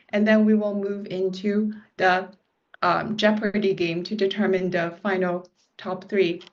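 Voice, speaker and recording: A woman hosting a live online quiz over a video call, chatty and relaxed with plenty of fillers. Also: mid-range voice. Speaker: quiz host. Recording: dull lo-fi video call audio.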